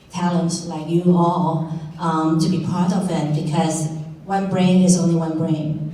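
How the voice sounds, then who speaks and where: A woman speaking earnestly on a panel, unscripted and halting, with non-native English phrasing. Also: on the low side, dark tone. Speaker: community center board member on a panel. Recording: very echoey room, distant mic.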